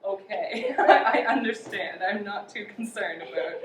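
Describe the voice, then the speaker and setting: A young woman hosting a casual outdoor cooking demo, chatty and quick to laugh. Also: medium pitch, expressive. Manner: chatty, laughs often, upbeat. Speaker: outdoor cooking show host. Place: outdoor cooking spot at a river park. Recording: echoey, distant, faint noise.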